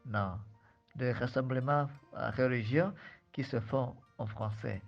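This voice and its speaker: A man from Malawi speaks slowly and hesitantly, pausing often on fillers as he answers an interviewer. His voice has a gravelly edge. The recording is dull and lo-fi, with faint music behind him. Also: medium pitch. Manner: hesitant, many fillers and restarts. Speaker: French teacher, guest.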